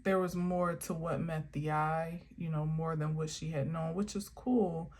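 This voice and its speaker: A woman's voice: sits low, fairly even intonation, a little slow, a little mellow. A woman reading affirmation cards in a casual vlog, musing aloud and often restarting her sentences. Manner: meandering, musing, self-correcting. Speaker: spiritual tarot reader. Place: outdoor garden.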